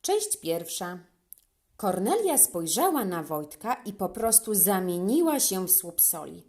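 A woman's voice: mid-range voice, sing-song, unhurried, a little mellow. A woman narrating a scripted children's story as a storyteller.

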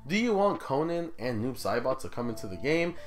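A man's voice: medium pitch, big pitch swings, a little fast. A man in his twenties giving casual gaming commentary to viewers as a video host, informal and conversational, often throwing questions at them. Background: music.

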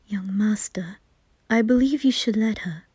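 A composed male butler demon acted by a woman in an anime roleplay. The voice is hushed and breathy, polite and deferential, measured in pace and very dry. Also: mid-range voice, no room sound. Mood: calm, reasoning.

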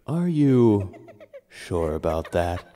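A man's voice: deep voice, sing-song, slow, warm tone, exaggerated voice. A man playing a theatrical, pompous, easily offended ghost in a cartoon parody, talking down to everyone. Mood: ominous, condescending. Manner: pompous, condescending, melodramatic. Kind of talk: animated cartoon parody acting. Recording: long cavernous reverb, faint noise.

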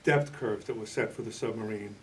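A man in his sixties explaining things as a television interview guest, in a halting, measured way. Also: on the low side, far from the mic, a little hiss or hum. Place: indoor TV studio, green screen.

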